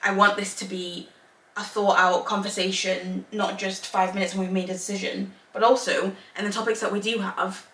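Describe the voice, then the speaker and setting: Bright-voiced woman in an echoey room. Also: medium pitch, level tone, normal speed. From Zambia, raised in England. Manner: laughs often, talks in long rambling run-ons. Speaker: podcast co-host. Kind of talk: casual chat. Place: home room, Northern Ireland. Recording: echoey room, mic at a distance.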